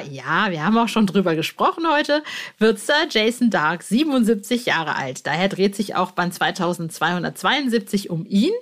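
A woman with a medium-pitched voice hosts a podcast. She is informal and effusive, chatting to listeners and making casual announcements. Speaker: podcast host.

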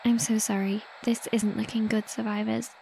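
A woman's voice: on the high side, level tone, moderate pace, vocal fry, mellow, a little hiss or hum, poor audio. A woman acting in an audio drama as an anxious, caring radio host to survivors, with a hesitant manner. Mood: awkward, apologetic.

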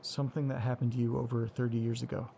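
A man voicing a nervous young investigator in a horror audio drama, tripping over his words and apologizing as he goes. His voice is soft and dark in tone, sitting at an ordinary middle pitch. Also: level tone, fast talker, creaky, a little hiss or hum, close to an everyday voice. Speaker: lead character.

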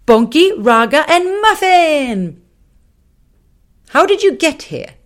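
A woman voicing a tiny, excitable female lion creature in a storybook, squealing and bouncy. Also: high voice, takes it slowly, pitched above the performer's own voice, heavily acted. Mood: excited.

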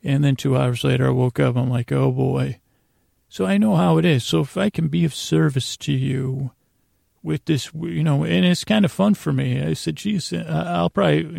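A man hosting a bedtime podcast in a creaky, gravelly voice, meandering and halting, trailing off and circling back with deadpan goofy nonsense. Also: a little high, no echo, clean studio sound. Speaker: podcast host. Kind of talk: rambling bedtime sleep podcast monologue.

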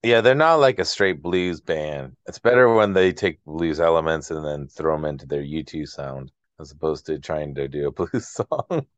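A man's voice: rumbling low, expressive, normal speed, hardly any pauses, no echo. A man chatting casually about an album as a podcast co-host. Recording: dull, dry lo-fi sound.

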